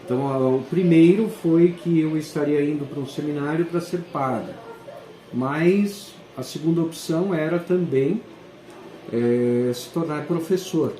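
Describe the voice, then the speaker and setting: A man, a school principal answering interview questions and often pausing to search for words. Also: on the high side, warm tone, slight background noise. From southern Brazil. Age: 40s. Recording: echoey room.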